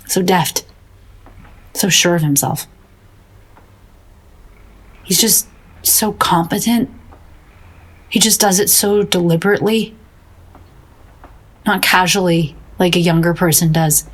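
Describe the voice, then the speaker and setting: Young painter gal in an audio drama, kinda low husky voice, lovestruck, defiant, gushy. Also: female voice, unhurried, long pauses, rough recording. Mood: dreamy, adoring. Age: young adult. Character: dreamy, infatuated young woman painter, defiant and adoring. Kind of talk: naturalistic audio drama acting.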